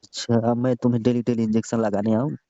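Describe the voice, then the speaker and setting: A man's voice: mid-range voice, level tone, quick pace, mellow, no echo. A middle-aged man playing a village doctor on a staged comic phone call, laid-back and flirting. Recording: dry, muffled phone call recording.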